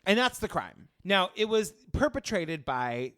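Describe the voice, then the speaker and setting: A man in his forties from Cape Cod co-hosting a podcast in casual chat, animated and gossipy, laughing often. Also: sits high, measured pace, no room sound, clean studio sound. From Cape Cod, Massachusetts. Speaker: podcast co-host.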